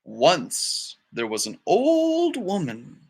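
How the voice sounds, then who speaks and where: A man's voice: sits high, wildly swooping pitch, extremely slow, darkish tone, slightly rough. A man reading a children's story aloud expressively, with dramatic phrasing and casual asides. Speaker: storybook reader.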